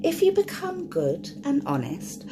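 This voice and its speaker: Woman as a slow-spoken, gently stern blue fairy. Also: high-pitched, wildly swooping pitch, noisy background, pitched above the performer's own voice, exaggerated voice. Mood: solemn, encouraging. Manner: gently admonishing, measured.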